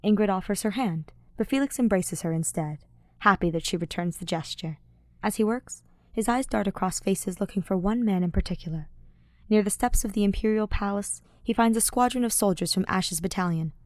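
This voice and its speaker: Female narrator, medium pitch, scripted fiction reading, audiobook style, dry close recording. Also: brisk, a little mellow, dry recording. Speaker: podfic narrator.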